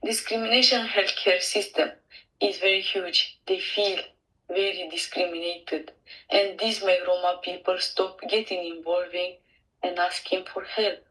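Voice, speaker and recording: A woman's voice: lowish voice, fairly even intonation, unhurried, bright, forceful tone. A woman speaking as a community representative, explaining earnestly in non-native English. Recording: distant microphone.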